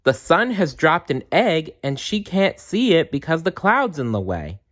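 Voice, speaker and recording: A tiny, excitable storybook elf girl, acted out by a man, speaks in a raised, swooping voice. She is earnest and emphatic, quick to get cross. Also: fairly high pitch, voice pushed up. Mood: wondering. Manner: earnest, wide-eyed, emphatic.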